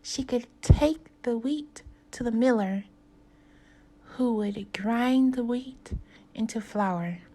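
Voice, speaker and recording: A woman teacher reading a children's story aloud, with deliberate phrasing and pauses for young learners. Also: a little high, warm tone, rough recording.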